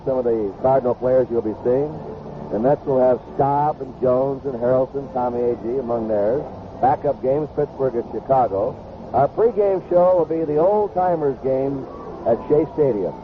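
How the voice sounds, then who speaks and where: A man's voice: medium pitch, narrow, tinny audio. Male play-by-play baseball announcer for a vintage radio broadcast, thin band-limited sound under heavy noise. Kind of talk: live sports commentary. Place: ballpark broadcast booth. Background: loud ambient ballpark noise.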